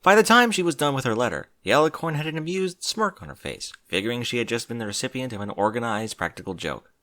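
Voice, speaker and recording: Male narrator, scripted story reading, lively intonation, bright sharp tone, expressive. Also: mid-range voice, fast. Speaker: fan fiction reader, narrator.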